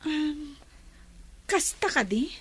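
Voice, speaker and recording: An earnest, respectful young woman in a radio drama. Her voice is very high and girlish, addressing her elders politely. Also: lively intonation, very slow, exaggerated voice. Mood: curious. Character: polite, earnest young daughter awaiting a parcel.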